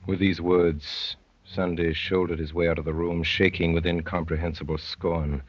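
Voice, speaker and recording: An actor playing an intense undercover detective, measured and theatrical, with a husky voice, in 1930s radio drama style. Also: male voice, deep voice, level tone. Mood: tense narration. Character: intense young undercover detective, narrating his own adventure. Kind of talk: 1930s radio acting, dramatic first-person narration. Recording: dull, muffled old 1930s radio recording.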